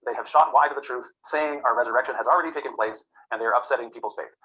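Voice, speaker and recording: A man lectures at a rapid clip, his voice bright and forward. He rambles, restarts and fills gaps with "uh" and "um". The recording sounds dull and lo-fi. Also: mid-range voice. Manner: rambling, many fillers and restarts. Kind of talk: classroom lecture.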